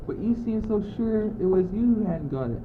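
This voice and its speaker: A fussy, bossy young courtier, acted by a teenage boy in an amateur radio play. He is high-voiced for a male and reasons pedantically and emphatically. The sound is very thin and tinny, with some noise. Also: animated, moderate pace. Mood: puzzled, defensive. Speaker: student actor. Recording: muffled, tinny old amateur recording, noisy.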